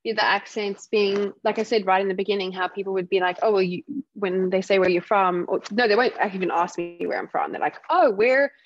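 A woman from South Africa with a softened South African accent, a guest telling stories in a casual interview and acting out what other people say. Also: medium pitch, fairly quick, few pauses, highs cut off. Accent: South African, softened by years in the UK. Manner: animated storytelling, acts out other people's remarks. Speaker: guest speaker.

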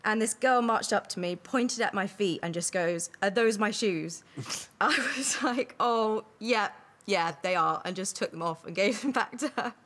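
A young woman telling a steady, plain anecdote as a guest in a TV studio. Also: mid-range voice, moderate pace, slight background noise.

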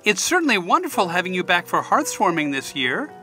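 A woman's voice: on the low side, sing-song, brisk, sharp tone, faint music behind. A woman voicing a jovial, theatrical middle-aged pony father in a cartoon dub, hearty and a little pompous. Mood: concerned, warm. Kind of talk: cartoon comic dub acting.

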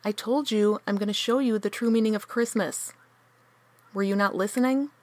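Holiday messenger spirit, actress, earnest and theatrical, mid pitch, close dry sound, radio comedy. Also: female voice, moderate pace, darkish tone, no room sound, lightly acted. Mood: exasperated. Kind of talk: comedic radio play acting.

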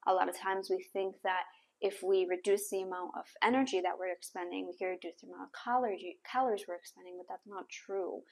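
A young woman speaks as a podcast host in a medium-pitched voice, giving casual advice. Her delivery is conversational and encouraging, halting now and then as she rephrases herself. She is recorded on a clip-on mic in a small room. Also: steady tone, gravelly. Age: young adult. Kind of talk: casual advice talk to camera. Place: small bare room indoors with hard floor. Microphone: clip-on lapel mic.

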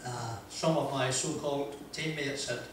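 A man's voice: medium pitch, steady tone, noisy background. An older man with a Northern Irish accent telling self-deprecating stories at a motivational talk, holding a handheld mic in a large wood-panelled room. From Northern Ireland. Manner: chatty, self-deprecating, frequent "uh" pauses and laughs. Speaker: motivational speaker. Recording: echoey hall, voice from a distance.

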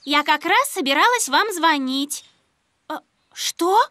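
A woman's voice: high-pitched, lively intonation, slow pace, bright, forceful tone, dry recording, clean studio sound, full character voice. A woman playing an earnest young girl who works at a rescue station, in a Russian cartoon dub. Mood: concerned. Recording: music and crowd behind.

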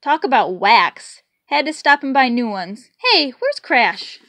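A woman's voice: a little high, sing-song, measured pace, fairly bright tone, slight background noise. A woman voicing an easygoing, grinning storybook lion drummer, casual and clipped. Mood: casual grumbling.

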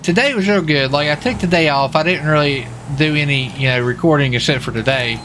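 A man's voice: a little high, a little fast, background noise. A young man chatting casually while livestreaming a game, rambling and repeating himself as he goes. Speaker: livestreamer and role-player. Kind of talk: casual chat while gaming.

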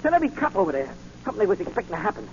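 An actor playing an excitable, slangy working-class sidekick in a breathless 1940s radio style. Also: male voice, high-pitched, racing. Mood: frantic, eager. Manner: breathless, ungrammatical, urgent. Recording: muffled, noisy 1940 radio recording.

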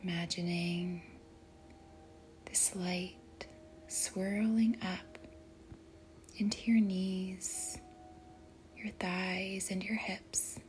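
Slow, whispery woman guiding meditation. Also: on the low side, little pitch movement, slight background noise. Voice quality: soft, breathy, whispery. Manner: gentle, deliberate, with long pauses between short phrases. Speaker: meditation guide. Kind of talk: guided meditation, slow and soothing narration.

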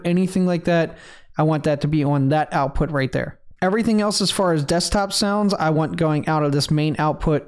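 A man's voice: fairly high pitch, clear, resonant tone. A man casually walking viewers through his streaming audio setup, rambling a little and using fillers as he goes. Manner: relaxed, rambling explanation with fillers. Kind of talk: casual screen-recorded tutorial.

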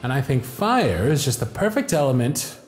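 A male actor plays a confident, playful stage magician in an ASMR audio drama. His mid-pitched voice moves with lively swoops through grand showman patter, in a roomy echo. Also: moderate pace, faint music behind, lightly acted. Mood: grand, showy. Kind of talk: scripted ASMR audio roleplay.

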